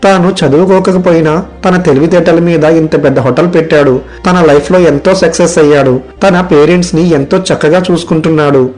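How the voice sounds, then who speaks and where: A man playing an earnest, loyal young village friend in an animated moral story. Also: on the high side, fairly quick, few pauses, faint music behind, close to an everyday voice. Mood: warm, grateful.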